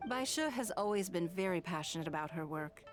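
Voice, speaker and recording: An actress voicing a composed, shrewd noblewoman in command in a video game, measured, eloquent and formal. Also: on the low side, lively intonation, over music, lightly acted. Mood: assured.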